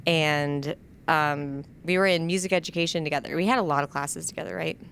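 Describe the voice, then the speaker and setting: A woman's voice: low-pitched, steady tone, brisk, vocal fry, fairly bright tone. Woman podcast host in her thirties, chatty and giggly, faint hiss behind. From Nebraska, USA. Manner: giggly, chatty, many fillers. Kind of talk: casual chat.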